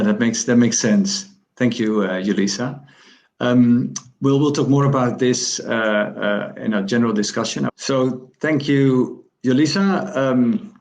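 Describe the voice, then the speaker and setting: A man's voice: medium pitch, a little mellow. A man moderating a panel discussion. He speaks politely and often repeats words as he starts his sentences.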